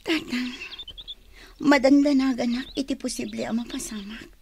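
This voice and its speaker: A woman's voice: fairly high pitch, fairly even intonation, normal speed, creaky, a little mellow, breathy edge. A woman playing an anxious young daughter in a radio drama, worried and often half-whispering. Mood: worried, pleading.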